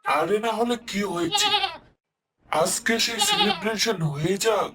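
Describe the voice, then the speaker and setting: A man voicing a greedy, excitable jackal, jolly and scheming, in a children's cartoon. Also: high-pitched, unhurried, very noisy, full character voice. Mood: eager scheming.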